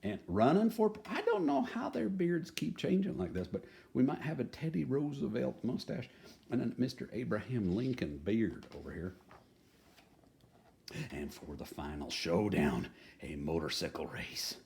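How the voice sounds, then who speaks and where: A man's voice: mid-range voice, big pitch swings, normal speed, gravelly, slight background noise. A man reading a children's picture book aloud in a folksy, playfully dramatic manner, adding chatty asides. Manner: folksy, playful dramatic delivery, dropping to hushed tones.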